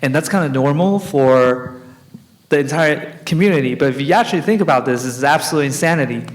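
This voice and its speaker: A man's voice: on the high side, a little fast, rough recording. A man speaking as a conference panelist, explaining step by step and often checking in with "right?". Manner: explanatory, tags points with "right?". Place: conference hall.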